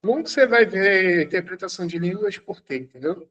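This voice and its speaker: A man's voice: high voice, expressive, a little fast, a bit bright, lo-fi sound. A man, an apostle, teaching an online church class, exhorting students and repeating his commands, at times laughing as he tells stories.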